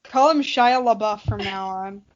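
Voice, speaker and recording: A woman's voice: mid-range voice, measured pace, dull audio. A woman podcast co-host making casual, teasing, sarcastic comments.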